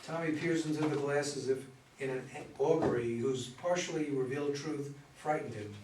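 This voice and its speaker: A man's voice: medium pitch, moderate pace, a little mellow. A man narrating scripted stage directions at a play reading. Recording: roomy, distant mic, faint noise.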